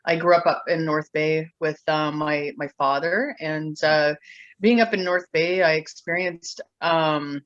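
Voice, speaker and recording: A middle-aged woman from Ontario tells her own story as an interview guest. She talks haltingly, with many fillers and restarts. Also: low voice, fairly even intonation, no echo, dull audio. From Ontario, Canada. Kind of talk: candid personal storytelling.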